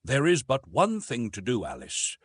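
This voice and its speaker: A man voicing a steady, gentle young nobleman husband who reassures earnestly and quietly in an audiobook. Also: mid-range voice, normal speed, slightly rough, no room sound, clean studio sound. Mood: reassuring.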